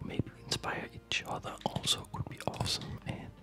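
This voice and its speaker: A man whispering breathily in a gentle, unhurried, rambling way, as host of an ASMR gaming video, close to the microphone. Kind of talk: whispered ASMR chat over gameplay.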